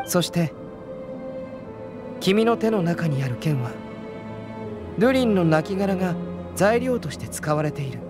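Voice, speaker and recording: A man playing a composed young alchemist knight in a video game, explaining things in a measured, scholarly, analytical manner. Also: sits high, big pitch swings, over music. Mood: calm, analytical.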